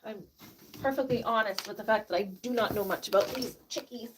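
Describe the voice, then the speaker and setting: A woman chatting casually and softly during a livestream from a barn, laughing often and cooing baby talk to her chickens. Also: a little high, fairly quick, background noise. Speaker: vlogger caring for animals.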